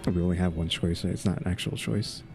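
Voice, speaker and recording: A man's voice: low-pitched, fairly even intonation, fairly quick, creaky. A man giving casual gameplay commentary as a channel host, with game music behind him.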